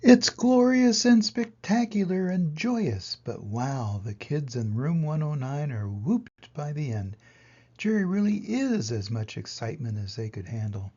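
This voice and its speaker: An older man reading a children's picture book aloud in an expressive way, with dramatic pauses and emphasis. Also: fairly high pitch, slightly rough, dull audio. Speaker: reader of children's picture books. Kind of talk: animated storybook read-aloud.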